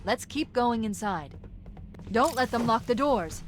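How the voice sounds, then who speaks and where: A woman's voice: fairly high pitch, animated, measured pace. Poised, articulate female military AI. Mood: focused, explaining. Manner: precise, articulate, analytical. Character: poised, brisk female military artificial intelligence. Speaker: AI companion in a video game. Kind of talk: dramatic game cutscene acting. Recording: loud game soundtrack music behind the voice.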